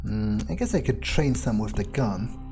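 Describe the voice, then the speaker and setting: A man's voice: lowish voice, animated, normal speed, dark tone, faint music behind. A man playing a cocky, cruel, foul-mouthed vampire who muses aloud in a video game roleplay. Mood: musing. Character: cocky, cruel male vampire player character, musing aloud. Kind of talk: in-character game roleplay monologue. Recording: clear background noise.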